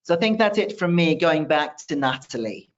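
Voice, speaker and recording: A man giving a relaxed webinar talk, full of "kind of" fillers, over a video call. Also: a little high, normal speed. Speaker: guest speaker on anxiety. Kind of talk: webinar presentation. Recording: muffled video-call audio.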